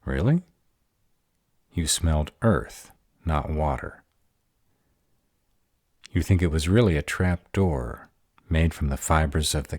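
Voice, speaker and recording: A man's voice: a bass voice, a little mellow, breathy edge, no echo, studio quality, exaggerated voice. An actor playing a sarcastic but protective male were-dragon in an audio roleplay, muttering sardonic asides. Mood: skeptical.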